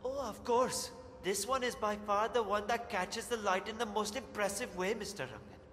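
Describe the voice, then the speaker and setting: An actor playing a meek, deferential underpaid artist in a video game cutscene. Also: male voice, sits high, moderate pace, fairly bright tone. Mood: eager to please. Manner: deferential, obsequious. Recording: long reverberant hall, music behind.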